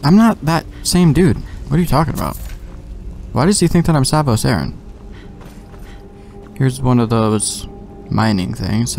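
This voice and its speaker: A young man with a lowish, gravelly-edged voice does casual let's play commentary. He is offhand and slangy, thinking aloud, with faint game music underneath. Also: a little mellow. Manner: offhand, slangy, talking to himself. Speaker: gamer, let's play host.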